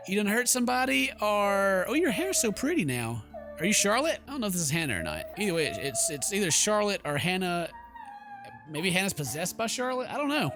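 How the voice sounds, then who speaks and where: A man streaming a game, reading narration aloud and breaking into playful, casual asides. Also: sits high. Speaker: gaming streamer. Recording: game music behind the voice.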